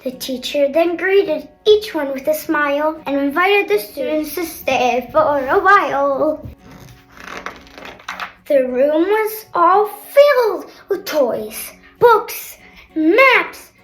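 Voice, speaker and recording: A young girl reading a picture book aloud with chatty asides, in an expressive sing-song manner. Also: high-pitched, takes it slowly. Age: child, older sister of a kindergartner. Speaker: storybook reader. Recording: roomy echo, faint background music.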